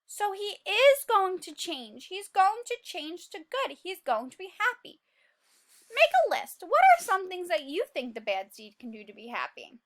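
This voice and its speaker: A woman reading a picture book aloud as a teacher, expressive and exclaiming, prompting young children with questions. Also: extremely high pitch, unhurried.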